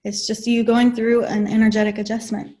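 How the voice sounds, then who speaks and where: A woman in her forties opening a webinar as a spiritual channeler. She gives soft, halting, reassuring guidance into a computer mic. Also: fairly high pitch, level tone. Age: 40s. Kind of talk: introduction to a webinar.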